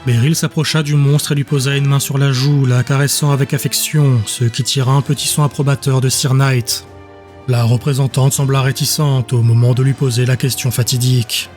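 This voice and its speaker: A man narrating a dramatic audiobook in a measured, literary storytelling manner. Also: mid-range voice, flat delivery, darkish tone, soft background music.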